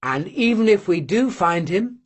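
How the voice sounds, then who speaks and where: A man voicing a playful, light-hearted young gentleman. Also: high-pitched, lively intonation, unhurried, husky, heavily acted.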